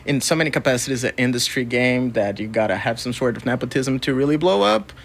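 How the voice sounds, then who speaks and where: A man's voice: medium pitch, lively intonation, fast, creaky, slight background noise. A man from Brazil speaking casually as a guest in an interview. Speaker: interview guest, record label co-founder and music photographer.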